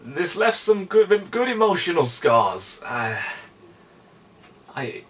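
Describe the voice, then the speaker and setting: A man's voice: high voice, sing-song, slow. A man narrating a game review in a casual, comedic, chatty style with theatrical exasperation.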